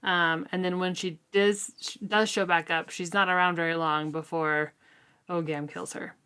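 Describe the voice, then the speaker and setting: A woman's voice: fairly low pitch, steady tone, normal speed, pushed and bright, clear, resonant tone. A woman co-hosting a podcast, giving thoughtful, hedged opinions in a casual book discussion. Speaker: co-host of a book read-along podcast.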